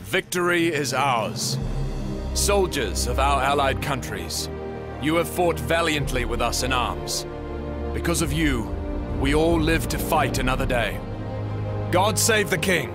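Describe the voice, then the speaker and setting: An actor playing a proud wartime commander in a game cutscene, giving a slow, solemn, declamatory speech to the troops. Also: male voice, medium pitch, animated, natural voice. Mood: triumphant, solemn. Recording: loud music under the voice.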